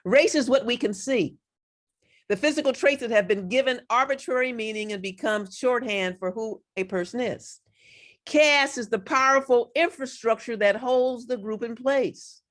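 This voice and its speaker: A woman's voice: fairly high pitch, moderate pace. A woman giving a lecture as a guest speaker, earnest, with her voice cracking from overuse. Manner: earnest, reads quotes aloud, frequent restarts. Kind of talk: lecture presentation.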